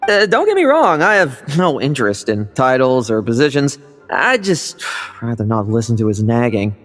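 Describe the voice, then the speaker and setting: An actor playing a sly, cheerful young knight in a game, breezy and smooth-talking. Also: male voice, mid-range voice, sing-song, moderate pace, fairly bright tone, soft background music.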